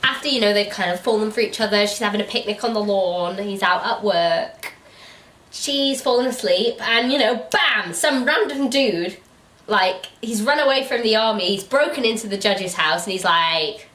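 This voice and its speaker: A woman's voice: mid-range voice, normal speed, a bit bright. A British woman hosting a casual, comedic book review chat, giggly, incredulous and free with swearing. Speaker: host of a book review show. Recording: roomy echo, distant mic.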